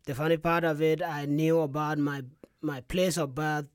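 A young man from South Sudan with a South Sudanese accent and Dinka as his first language, a musician guest talking softly in a radio studio interview. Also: fairly high pitch, flat delivery, normal speed, smooth, dry recording. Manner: soft-spoken, frequent "yeah" and "you know".

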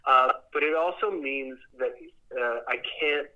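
A man's voice: mid-range voice, unhurried, sharp tone. A man answering interview questions as a theater director, speaking in a thoughtful, rambling way and repeating words as he searches for the next one. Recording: muffled radio or phone-call sound.

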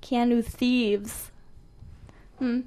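A woman's voice: fairly high pitch, flat delivery, extremely slow, warm tone. A woman co-hosting a radio show in casual, unscripted chat, with a giggly, joking manner. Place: radio studio.

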